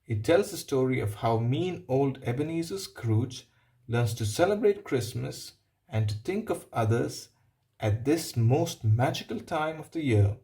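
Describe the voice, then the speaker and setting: A man reading a picture book aloud, pausing for simple explanatory asides and checking in with the listener. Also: mid-range voice, animated, dark tone. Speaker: storybook reader.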